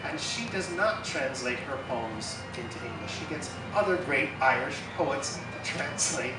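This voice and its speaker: Man, festival poetry reader, slow heartfelt reading, casual asides, roomy distant sound, loud music. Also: mid-range voice, animated. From Hudson Valley, New York, US. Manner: reads poems slowly and feelingly, then chats with fillers. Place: festival stage. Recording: echoey, far from mic, loud music behind.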